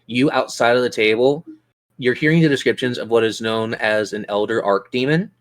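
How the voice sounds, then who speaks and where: A man's voice: mid-range voice, normal speed. A man narrating a tabletop role-playing game as game master, describing scenes and prompting players with questions.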